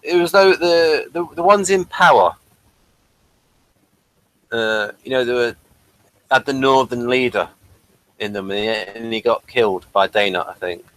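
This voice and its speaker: A man co-hosting a TV review in casual chat, halting and repeating his words. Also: medium pitch, animated, fairly bright tone, dry recording, rough recording. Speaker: co-host of a TV review show.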